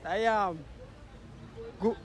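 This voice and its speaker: Very high-voiced, rambling Trinidadian man. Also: drawn-out words. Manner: talkative, wandering off the question. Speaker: street interviewee. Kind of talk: rambling casual chat on the street. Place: outdoors on a street.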